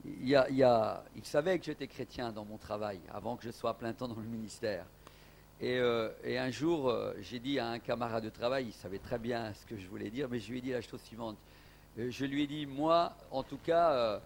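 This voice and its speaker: A man's voice: on the high side, slight background noise. A man from Portugal preaching a sermon as an evangelist in a church, emphatic, with rising exclamations.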